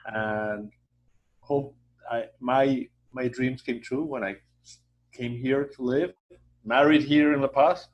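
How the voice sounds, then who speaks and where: A Mexican-accented man, Spanish his first language, speaks in an even middle register. He answers interview questions in halting, filler-laden English, restarting his sentences as he warmly promotes his town. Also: mid-range voice, muffled recording. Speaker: hotel association president, guest. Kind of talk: conversational interview.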